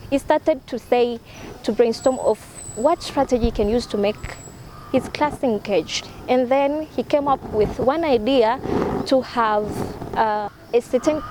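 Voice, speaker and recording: A woman with a Tanzanian accent, a teacher coach telling a classroom story in a webinar, lively when she quotes the children. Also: on the high side. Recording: noisy call with background noise.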